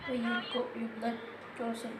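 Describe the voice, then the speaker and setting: A woman casually reacting off the cuff to a video, with halting, puzzled questions. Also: fairly high pitch, monotone, noisy background. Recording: roomy, loud music from played video. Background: loud music and narration from video.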